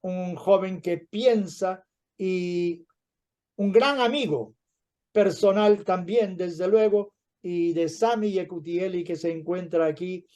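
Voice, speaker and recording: A man's voice: high voice, slow pace, mellow. A man, a rabbi, hosting the event and earnestly introducing and thanking the guest speaker.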